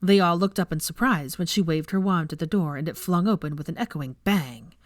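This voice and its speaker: A woman's voice: lowish voice, fairly quick, no room sound, clean studio sound. A woman reading fan fiction aloud as a storyteller, in scripted narration. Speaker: audiobook narrator.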